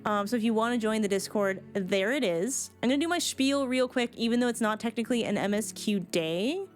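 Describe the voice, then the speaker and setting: A woman's voice: on the high side, fairly quick, faint music behind. A woman game livestreamer chatting informally and casually with her viewers.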